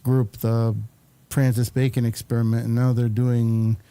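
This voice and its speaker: A man hosting a casual talk show, speaking in a hesitant, halting way. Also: mid-range voice, level tone, dark tone, smooth, no echo. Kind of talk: casual conversational interview.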